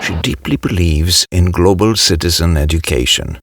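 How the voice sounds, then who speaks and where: Man, scripted narration, bottom-low pitch, husky rasp, dry recording. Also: normal speed, no echo.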